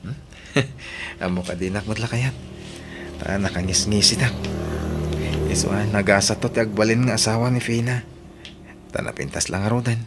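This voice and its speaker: A man's voice: fairly low pitch, moderate pace, long pauses, lightly acted. A man playing a humble, lovestruck young runaway in a radio drama, polite and pleading with an Ilocano accent. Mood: lovestruck musing. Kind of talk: Filipino radio drama acting. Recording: noisy radio drama recording.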